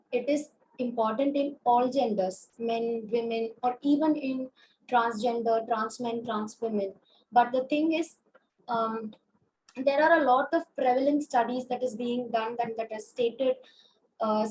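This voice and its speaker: A woman with an Indian English accent lectures over a video call, her high voice hoarse from a throat infection. She explains step by step with frequent fillers and restarts. A faint hiss sits under the call. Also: a little mellow, low-quality recording. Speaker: consultant physiotherapist. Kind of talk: webinar lecture.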